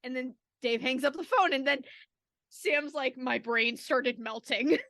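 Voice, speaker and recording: Bright, high-pitched woman telling fan stories. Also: measured pace, no room sound. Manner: gushing, giggly, dramatic retelling. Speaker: podcast guest and editor. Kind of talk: casual, animated fan storytelling.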